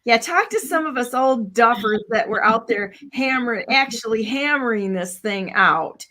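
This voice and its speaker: A woman's voice: on the high side, lively intonation, moderate pace, sharp tone. A woman in her sixties hosting a casual chat, blunt and rambling, full of "you know" and "like". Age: 60s. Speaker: host.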